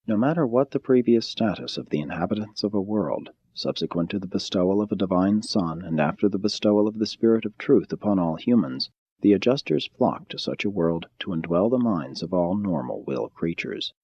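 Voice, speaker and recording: A male audiobook narrator with a very soft, dark tone and a husky grain. He reads scripted spiritual text formally and solemnly, in a measured way. The recording sounds a little dull. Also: low voice, expressive.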